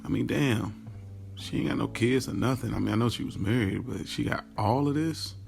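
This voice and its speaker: A man's voice: medium pitch, moderate pace, gravelly, a little mellow, faint music behind. A man playing a smitten, boastful yet self-questioning guy in an audio drama, telling his story casually and in slang, straight to the listener. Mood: bemused. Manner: casual, slangy, confiding, addresses listeners directly. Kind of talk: first-person audio drama monologue, conversational storytelling.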